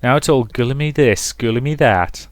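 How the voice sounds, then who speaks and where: A man's voice: mid-range voice, measured pace, no echo, voice pushed up, close to an everyday voice. A man voicing a brash, sweary, dramatic sixteen-year-old girl in a visual novel, complaining emphatically. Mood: exasperated.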